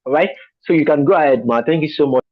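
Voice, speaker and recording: A man's voice: a little high, quick pace, no room sound, highs cut off. A male moderator who apologizes, then reads out a guest speaker's profile with frequent fillers. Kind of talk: introducing a speaker online.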